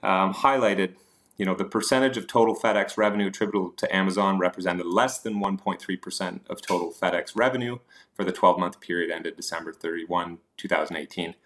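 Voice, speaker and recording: A man's voice: sits low, brisk, fairly bright tone. A man presenting investing slides as a host, explaining points informally with hesitant asides.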